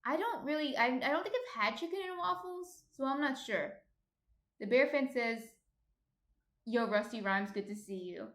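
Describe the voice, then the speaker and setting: A woman's voice: sits high, lively intonation, normal speed, reverberant. A woman with a slight New Jersey accent hosts a baking livestream, reading viewer comments aloud and chatting as she cooks. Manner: chatty, thinks aloud while cooking.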